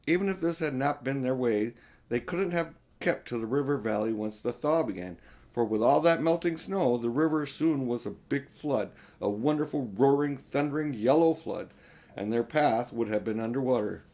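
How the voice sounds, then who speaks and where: A man's voice: medium pitch, gravelly, a little mellow. An older man reading a storybook aloud to children as an audiobook narrator, now and then stumbling over a word.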